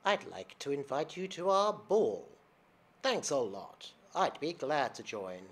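A man reading aloud old textbook dialogue in an exaggerated, singsong, loud voice. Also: on the high side, sing-song, a little slow, voice pushed up. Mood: mock formal.

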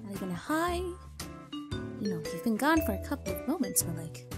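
A woman's voice: mid-range voice, big pitch swings, a little fast, loud music behind the voice, close to an everyday voice. A woman voicing a vain, chirpy, sassy moth monster in a cartoon comic dub. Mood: miffed. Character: vain, bubbly female moth monster, easily miffed.

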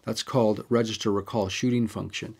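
A man's voice: lowish voice, steady tone, brisk, creaky, a little mellow, slightly rough. A man hosting a camera tutorial, talking casually and walking through settings step by step with the odd digression. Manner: chatty, digresses, explains step by step. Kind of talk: casual how-to walkthrough.

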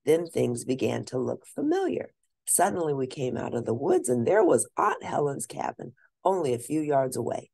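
A woman with a low, husky voice reads a children's story aloud. Her pitch swings very widely as she narrates, and she lingers on key words with deliberate expression. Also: sing-song, a little mellow, dry recording. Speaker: storyteller reading a children's book.

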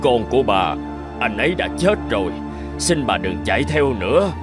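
A formal, emphatic man from a cartoon medical drama, played by an actor. His mid-pitched voice swings widely in dramatic delivery. Music plays clearly behind him. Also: very animated, normal speed, natural voice. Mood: urgent.

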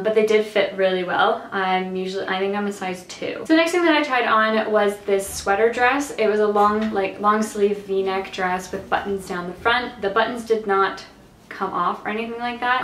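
Casual vlogger, woman in her twenties, mid pitch, a little nasal and raspy, chatty and rambling; roomy bedroom sound with faint hiss. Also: fairly bright tone. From Canada. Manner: chatty, rambling, lots of "like" fillers. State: slightly nasal and raspy from allergies. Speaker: fashion vlogger. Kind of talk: casual try-on haul chat. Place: bright bedroom.

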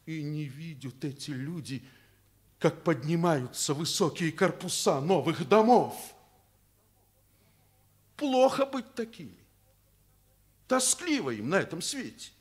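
An actor playing a principled, fatherly male school headmaster in a radio drama, speaking deliberately and emphatically with pauses between phrases. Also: on the high side, lively intonation, breathy edge, exaggerated voice. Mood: earnest, impassioned. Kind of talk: Soviet radio drama acting.

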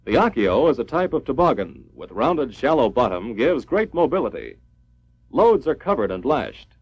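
A man's voice: mid-range voice, very animated, moderate pace, breathy edge. A man reading a scripted 1950s military training film narration in a formal, clipped, instructional manner. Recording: dull lo-fi 1950s film soundtrack.

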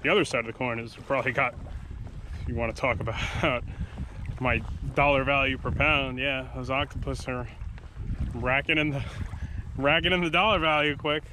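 A man's voice: mid-range voice, fairly even intonation, normal speed, long pauses, pushed and bright, a little hiss or hum, rough recording. A man in his thirties giving a casual running commentary while fishing alone from a kayak, talking to himself between casts, recorded on an action camera mounted on the boat. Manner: laid-back, talks to himself between casts. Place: kayak on calm open sea, outdoors.

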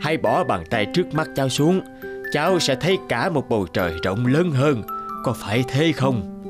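Wise old grandfather for a cartoon fable male actor: mid-pitched, very expressive, gently instructive, music underneath. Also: moderate pace, close to an everyday voice. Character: kindly wise old grandfather giving life advice.

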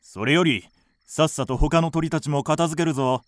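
A man playing a hot-headed, vengeful village hunter in a radio drama, rough and blustering. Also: on the high side, normal speed, heavy background noise, lightly acted. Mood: cocky, determined.

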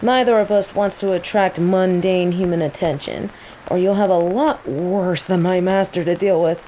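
A woman playing a cocky, teasing female mage who controls plants, in a scripted roleplay audio drama, with an unhurried, playful drawl. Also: lowish voice, lightly acted, poor audio. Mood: teasing, searching. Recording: steady rain ambience noise. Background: falling rain.

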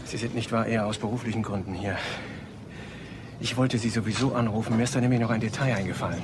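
An actor playing a grown son in a crime radio play, polite but insistent. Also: male voice, fairly low pitch, fast talker, natural voice. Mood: polite, measured. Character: grown son living with his demanding mother. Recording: noisy radio play recording.

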